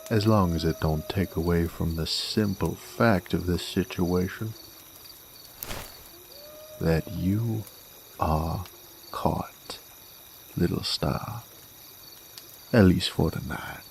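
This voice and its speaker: Guy doing a cocky gruff cowboy werewolf bounty hunter, real low n slow western american drawl, roleplay audio, bit of cricket noise behind. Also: darkish tone, full character voice. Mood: amused, taunting. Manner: folksy, taunting, drawling. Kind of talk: roleplay audio drama monologue, addressed to a captive.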